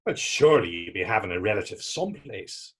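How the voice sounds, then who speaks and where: Stage drama: man as poetic Irish confidant, curious and kindly, raspy voice, very lively intonation, old-fashioned phrasing. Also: lowish voice, brisk. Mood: sympathetic. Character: sympathetic, curious, poetic Irish companion named Tommy. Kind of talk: stage readers theatre acting in dialect.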